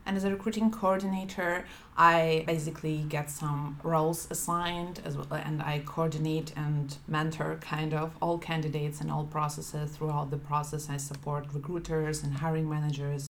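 A woman's voice: sits low, level tone, normal speed, slight background noise. A young woman from Ukraine, a recruitment coordinator speaking carefully about her job.

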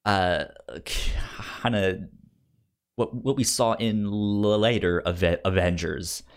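A man co-hosting a casual film debate, stuttering on syllables and words as he talks in an animated way. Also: fairly low pitch, slow pace, clear, resonant tone. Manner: animated, acts out mock quotes. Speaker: podcast co-host debating films.

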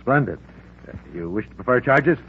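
An actor playing a pompous, brusque district attorney in a 1950s radio drama, speaking in a formal, clipped, indignant manner. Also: male voice, mid-range voice, expressive, moderate pace, natural voice. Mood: brisk, official. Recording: tinny, noisy vintage radio recording.

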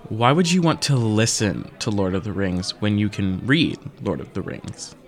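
A man playing a cheeky, excitable teenage boy with a theatrical, teasing manner in a fiction podcast. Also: lowish voice, moderate pace. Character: excitable, cheeky teenage boy, loud loyal best friend. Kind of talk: audio fiction podcast acting.

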